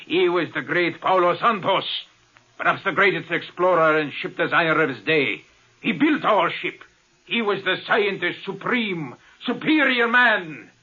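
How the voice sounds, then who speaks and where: A man's voice: sits high, expressive, unhurried, bright, forceful tone, husky, highs cut off, heavily acted. An actor in a 1950s radio drama playing a resentful, power-hungry man who menaces and taunts his adoptive brother. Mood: gloating, menacing. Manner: gloating, taunting, theatrical.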